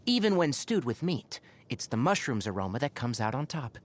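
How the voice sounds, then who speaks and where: A man's voice: medium pitch, very animated, moderate pace. A man playing a young, scholarly fox-eared forest ranger in a video game, speaking precisely and articulately with dry wit. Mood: thoughtful, composed. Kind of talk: scripted game voice lines. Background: background music.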